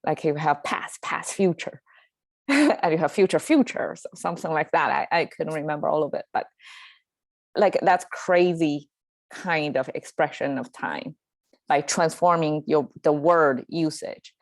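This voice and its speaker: Slow, low-voiced woman with a Chinese accent. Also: dry recording. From Chinese-speaking. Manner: hesitant, lots of fillers and restarts, laughs easily. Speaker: language teacher and show host. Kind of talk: casual solo language lesson. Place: home in Southern California.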